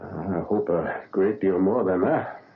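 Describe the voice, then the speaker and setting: A man acting a grave older doctor and father with solemn, halting delivery in a 1970s radio drama. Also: sits low, creaky. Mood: weary, halting. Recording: muffled, tinny vintage radio broadcast.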